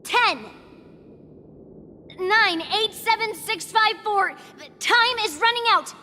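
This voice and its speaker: High-voiced, confident young warrior girl. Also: slow, pushed and bright, in a large hall, exaggerated voice. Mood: commanding, impatient. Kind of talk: English anime game voice acting.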